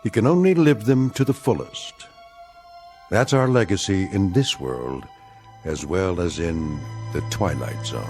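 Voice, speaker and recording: A grave, knowing narrator for an eerie radio play. His very low voice moves expressively through measured, portentous lines over prominent music. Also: animated. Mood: solemn. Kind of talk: scripted radio drama narration.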